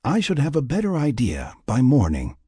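A man voicing a calm, careful woman medic who explains things clinically in an audiobook. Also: medium pitch, normal speed, breathy edge, no room sound, clean studio sound, voice pushed up, full character voice. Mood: cautious. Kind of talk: audiobook character dialogue.

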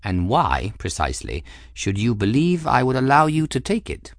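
A man voicing a mild, patient old male mage who speaks gently and wearily. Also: mid-range voice, wildly swooping pitch, moderate pace, no room sound, clean studio sound, pitched above the performer's own voice. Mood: gentle, weary.

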